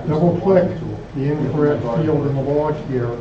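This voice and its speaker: A man giving an informal technical explanation with halting, hesitant phrasing. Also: a little high, a loud crowd. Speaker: club member explaining logging software. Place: indoor club meeting room. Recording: echoey room, distant muffled mic, noisy background.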